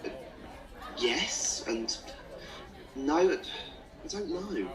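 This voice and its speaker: An actor playing an earnest, questioning young man in an audio play, hesitant and expressive. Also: on the high side, drawn-out words, rough recording. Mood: hesitant wonder. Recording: busy crowd murmur behind.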